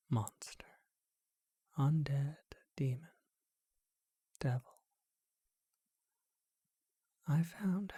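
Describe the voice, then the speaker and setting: A performer voicing a charming, bookish ancient vampire in a whispered ASMR roleplay, speaking in a refined, unhurried, musing way with a breathy voice. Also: mid-range voice, dark tone, no echo, heavily acted. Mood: suave, musing.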